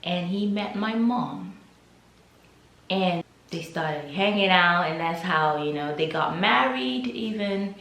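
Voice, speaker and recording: A young Nigerian-accented woman speaks in a fairly low voice, taking her time over a personal story. Her telling is reflective and hesitant. The room around her rings with a noticeable echo. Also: lively intonation, slow pace, a bit bright. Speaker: vlogger.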